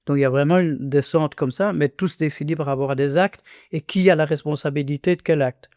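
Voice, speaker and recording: A man's voice: on the high side, a little fast, a little mellow, no echo, clean studio sound. A man in his sixties, born in Congo, giving reflective conversational testimony in a podcast interview, speaking earnestly with many restarts and fillers. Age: 60s.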